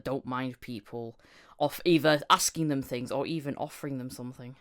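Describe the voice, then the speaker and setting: Woman, deep for a female voice, very clean tone, casual interviewer. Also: moderate pace. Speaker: podcast host.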